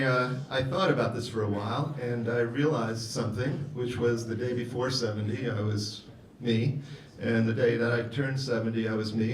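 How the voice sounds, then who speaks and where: A man's voice: mid-range voice, far from the mic. A man in his seventies, a singer-songwriter joking with the audience between songs at a live concert. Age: 70s. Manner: relaxed, joking, talks to the audience. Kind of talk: chatty stage banter between songs. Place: music hall stage at a concert. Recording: roomy live venue with loud music. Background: guitar and live music.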